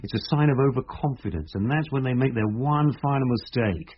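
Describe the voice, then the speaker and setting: An actor playing a brisk, sceptical police inspector in a radio drama, direct and authoritative in manner. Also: male voice, medium pitch, slightly rough, lightly acted. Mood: reasoning, confident. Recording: dull, faintly noisy radio drama recording.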